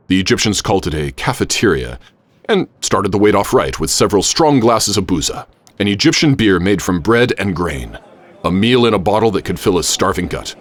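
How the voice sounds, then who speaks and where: An actor playing a witty, grandiose American professor and adventurer, speaking in flowery, theatrical phrases in a radio drama. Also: male voice, deep voice, dry recording. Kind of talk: radio drama acting and first-person narration.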